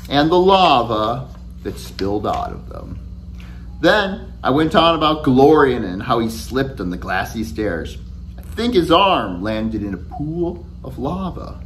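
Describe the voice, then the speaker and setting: Male author, forties, expressive storybook read, very varied intonation, chatty delivery, faint hum behind. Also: mid-range voice. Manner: animated, conversational first-person storytelling. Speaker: author reading own book aloud. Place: small indoor room at home with shelves.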